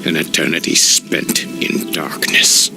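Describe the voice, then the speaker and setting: A man's voice: extremely low pitch, level tone, slow pace, gravelly, in a whisper, over music, full character voice. An actor playing a bitter, proud male elf demon hunter with a brooding, defiant manner.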